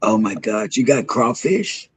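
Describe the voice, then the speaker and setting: A man hosting a morning show in casual, rambling chat, with a throaty, hoarse voice from a sore throat. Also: fairly low pitch, animated, unhurried, crowd noise.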